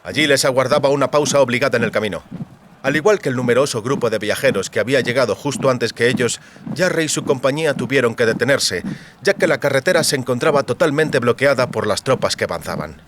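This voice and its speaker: A man's voice: mid-range voice, fast talker, background noise. A man reading a scripted fantasy novel as an audiobook narrator.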